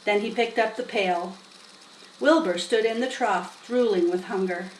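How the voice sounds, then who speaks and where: A woman's voice: mid-range voice, unhurried. A woman narrating a children's story expressively as a storybook reader. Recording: roomy, distant mic, faint noise.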